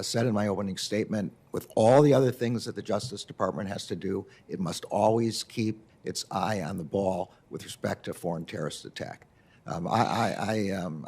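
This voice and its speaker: A man testifying as a nominee over a video call, answering in careful, halting, measured sentences. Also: lowish voice, darkish tone, slightly rough. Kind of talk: formal hearing testimony.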